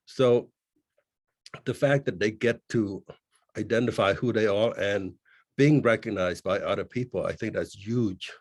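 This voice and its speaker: A man speaking on a panel discussion in a measured, somewhat hesitant way. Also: on the low side, no room sound, clean studio sound. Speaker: panelist, community leader.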